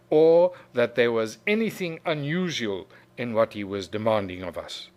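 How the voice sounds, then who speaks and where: A man reads a literary story aloud. His mid-pitched voice moves up and down in animated swings. He stretches certain phrases for emphasis, in the measured manner of a storyteller. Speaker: reader of a short story. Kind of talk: expressive literary storytelling narration.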